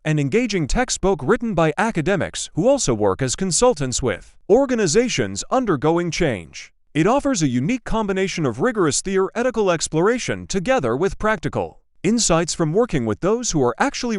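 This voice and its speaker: A man's voice: high-pitched, very animated, normal speed, no room sound, studio quality. A man reading a passage aloud for a speaking test, with careful delivery.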